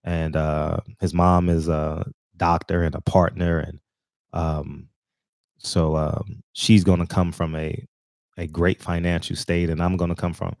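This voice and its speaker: Deep-voiced man rambling in a dry room. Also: flat delivery, unhurried, vocal fry, darkish tone, no echo. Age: 40s. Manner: rambling, with many fillers and restarts. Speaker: channel host. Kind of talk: casual commentary monologue.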